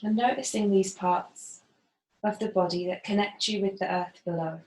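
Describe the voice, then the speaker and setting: A woman gently guiding a body scan as a yoga facilitator, unhurried and leaving pauses. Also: mid-range voice, fairly even intonation. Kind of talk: guided body scan meditation instruction. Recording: roomy, echoing voice.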